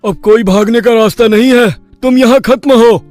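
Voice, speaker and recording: A man voicing a brave, determined villager in an animated story, speaking in an earnest, rallying, declamatory way. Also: very high-pitched, moderate pace, soft background music. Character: brave, determined male village friends defying a demon.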